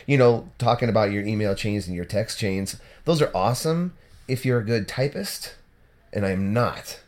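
A man co-hosting a football podcast, rambling and self-deprecating, with lots of "you know". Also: medium pitch. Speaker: podcast co-host. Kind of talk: casual football chat.

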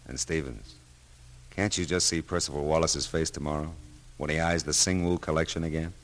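An American actor playing a wry, world-weary but soft-hearted private detective in a vintage radio drama, clipped and slangy. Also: male voice, very deep voice, fairly even intonation, a little fast, heavy background noise. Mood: knowing, gentle. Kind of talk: 1940s-50s American radio detective drama, first-person narration.

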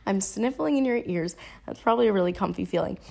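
A woman's voice: mid-range voice, quick pace, vocal fry, warm tone. A young woman hosts a casual solo podcast while she is sick, sniffling as she talks. She rambles in a chatty, slangy way and laughs at herself. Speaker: podcast host.

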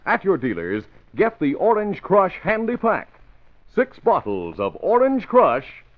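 A man's voice: sits high, wildly swooping pitch, measured pace. An American man narrating and announcing a vintage radio adventure serial with dramatic, emphatic delivery. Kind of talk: 1940s radio scripted narration. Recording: muffled, noisy vintage radio recording.

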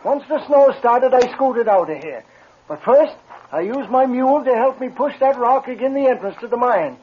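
An actor playing a crusty, talkative old miner with a Scottish accent in an old-time radio western. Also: male voice, very high voice, lively intonation, normal speed, slightly rough, full character voice. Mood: grumbling. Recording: muffled, noisy old radio recording.

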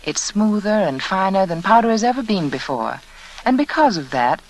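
A woman's voice: medium pitch, animated, moderate pace. A woman reading a scripted 1940s radio advertisement as hostess, earnest, polished and persuasive. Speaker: radio hostess and sponsor spokeswoman. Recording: noisy vintage 1940s radio recording.

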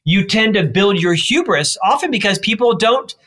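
A man with a lifelong stutter, a leadership expert answering interview questions emphatically and rattling off points in quick lists. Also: sits high, lively intonation, sharp tone.

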